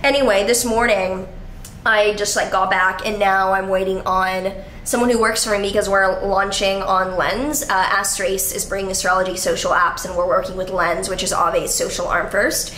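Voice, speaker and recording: High-voiced woman rambling in echoey room. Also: fairly even intonation, normal speed, a little hiss or hum. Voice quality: high, bright voice. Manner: rambling, frequent "like" fillers, casual swearing. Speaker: vlogger. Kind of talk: casual vlog chat. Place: apartment living room. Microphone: handheld camera at arm's length. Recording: echoey room with faint ambience.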